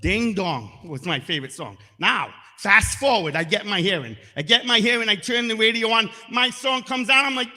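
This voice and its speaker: Middle-aged male stand-up, high bright voice, punchy and deadpan, live room with a long reverb. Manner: punchy, deadpan asides, bursts into loud shouted singing. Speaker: stand-up comedian. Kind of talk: stand-up comedy set. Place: comedy stage.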